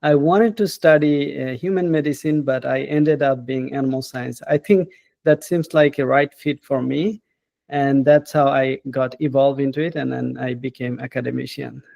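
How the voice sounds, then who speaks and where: A man, a professor talking as an interview guest in an unhurried, hesitant way and often adding "right?". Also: medium pitch, fairly even intonation, creaky, darkish tone, no room sound. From Bangladesh. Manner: unhurried, hesitant, with frequent "uh" and tag questions like "right?". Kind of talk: casual interview.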